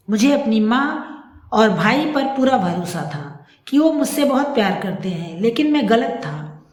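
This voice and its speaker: A woman's voice: a little high, reverberant. A woman storyteller voicing a remorseful, proud adult son in an audio story, speaking in earnest declarations.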